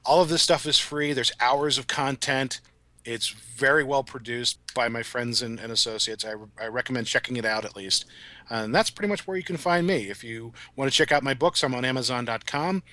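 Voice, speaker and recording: Bright-voiced man talking on a podcast. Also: medium pitch, brisk, no echo. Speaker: guest, writer of audio dramas. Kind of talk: casual podcast discussion.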